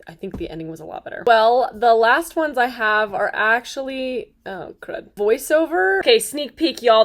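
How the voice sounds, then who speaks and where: A woman in her twenties hosting a casual chat, bubbly and quick to laugh and shout. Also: sits high. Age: 20s. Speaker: host.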